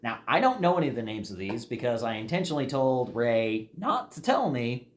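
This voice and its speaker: A man co-hosting a casual podcast, speaking in a laid-back way with many fillers and quick questions. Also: medium pitch, big pitch swings, reverberant, slight background noise. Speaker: podcast co-host. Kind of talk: casual chat.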